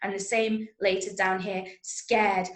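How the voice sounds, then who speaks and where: A woman's voice: mid-range voice, flat delivery, unhurried. A woman from Manchester with an English accent, teaching an interactive drama workshop online in an encouraging manner. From Manchester, England. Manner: encouraging, praising students' answers. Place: indoors, on a video call. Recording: roomy, echoey sound.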